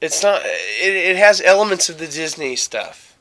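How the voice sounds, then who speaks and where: A man hosting a casual, rambling podcast chat, haltingly searching for words and often repeating his phrases. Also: fairly high pitch, big pitch swings, sharp tone. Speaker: podcast host.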